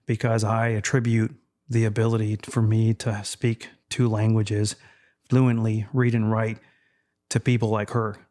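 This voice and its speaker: A man in his fifties from Texas, Spanish his first language, talking in a measured, reflective way as a podcast guest. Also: fairly low pitch, little pitch movement, warm tone. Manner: measured, reflective, with frequent fillers. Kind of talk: casual interview chat.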